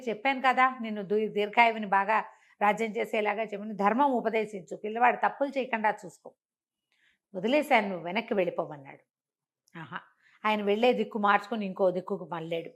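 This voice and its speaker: An older woman telling a moral folk tale in an interview, acting out the characters' lines as she goes. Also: medium pitch. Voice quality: animated, acts out the characters' lines within the telling. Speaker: storyteller.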